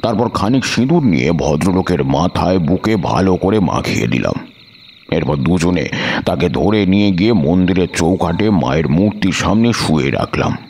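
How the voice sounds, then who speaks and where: A man narrating a suspense story in a measured, literary and dramatic manner. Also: sits low, lively intonation, a little mellow, a little hiss or hum. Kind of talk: dramatic scripted first-person story narration. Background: background music.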